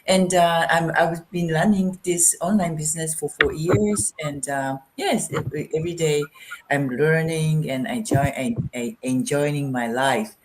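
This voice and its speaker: A woman from Japan whose first language is Japanese, introducing herself in halting English with many fillers. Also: low voice, slight background noise. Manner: hesitant, halting non-native English with fillers. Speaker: guest presenter.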